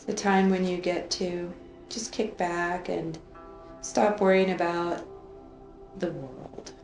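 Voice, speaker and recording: A woman leading an unscripted guided meditation in a soothing, drawn-out way, gently repeating phrases. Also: on the low side, steady tone. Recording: roomy echo, faint music underneath.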